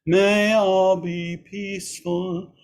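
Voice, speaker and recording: A man with a raspy, hoarse voice leading a Buddhist service online, slowly intoning chants and gentle instructions. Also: sits high, flat delivery. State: hoarse after surgery. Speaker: Buddhist teacher leading practice. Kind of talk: leading a chanted metta practice.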